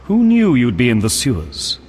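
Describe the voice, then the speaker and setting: An actor playing an earnest, pious knight in a video game, speaking in a formal, courteous and solemn manner. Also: male voice, mid-range voice, huge pitch swings, moderate pace, darkish tone, slightly rough. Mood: polite, alert. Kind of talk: video game character acting. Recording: long echoing reverb.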